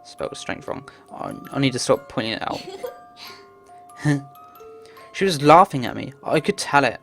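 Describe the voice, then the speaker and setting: A woman's voice: rumbling low, expressive, moderate pace, fairly bright tone, soft background music. A woman reading a visual novel aloud as narration, stumbling now and then and adding casual asides.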